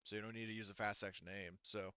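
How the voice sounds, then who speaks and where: A man's voice: on the low side, flat delivery, very fast, pushed and bright, clean tone, dry recording, muffled recording. A man running a tabletop role-playing game as game master, talking rules casually and swearing freely.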